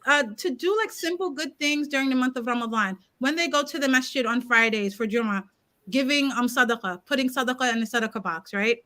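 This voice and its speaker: A woman co-hosting a casual livestream chat, talkative and quick to laugh, correcting herself as she goes. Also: high voice, sharp tone. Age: adult parent of a seven-year-old. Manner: chatty, laughs easily, self-correcting. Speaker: co-host.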